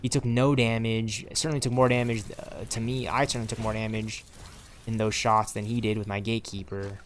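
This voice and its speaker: A man's voice: fairly low pitch, flat delivery, brisk, a little hiss or hum. A man in his twenties talking casually over gameplay, rambling with many fillers and repeats. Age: 20s. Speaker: gaming streamer.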